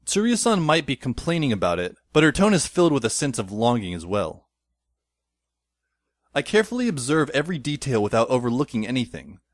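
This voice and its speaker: A man's voice: mid-range voice, huge pitch swings, brisk, no echo. A man playing a wry teenage schoolboy who narrates an audio drama in a dry, descriptive way.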